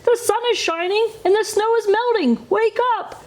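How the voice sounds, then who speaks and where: A woman voicing an eager, excitable frog from a children's storybook, calling out insistently to rouse a sleepy friend. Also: very high-pitched, normal speed, voice pushed up, rough recording. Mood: urgent, excited. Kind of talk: children's storybook read aloud.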